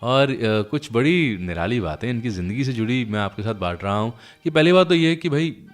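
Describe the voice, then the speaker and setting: A man's voice: medium pitch, lively intonation, a little fast. A man presenting a classic film music show, telling anecdotes in a chatty way and speaking directly to listeners. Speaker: radio show host. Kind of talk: conversational storytelling presentation of classic film songs.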